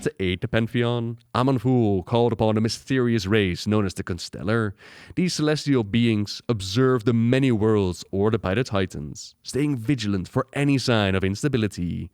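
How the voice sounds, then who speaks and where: A fairly deep male voice, recorded dry and close. He narrates as a video host, in a scripted explainer style. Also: moderate pace, a little mellow, clean tone, no echo.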